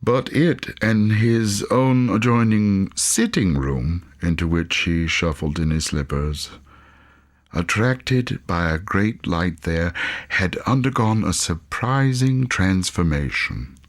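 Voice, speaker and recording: A man's voice: sits low, animated, slow. A man reading a classic story as an audiobook narrator, in a dramatic literary storytelling style with long hall-like reverb. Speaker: audiobook reader.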